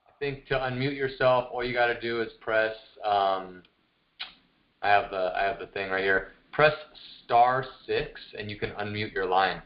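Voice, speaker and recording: A man with a lowish voice talks as a fitness coach leading a group call. His delivery is informal and encouraging, like casual coaching. The sound is dull and lo-fi, as from a conference call. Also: moderate pace. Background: no music, voice on a group phone call.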